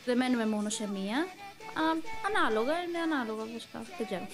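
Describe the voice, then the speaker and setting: A young woman talks casually as an interview guest. Her storytelling is animated and full of slang, with the odd English word. Music plays clearly behind her. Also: a little high. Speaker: voice actor and dubbing singer being interviewed.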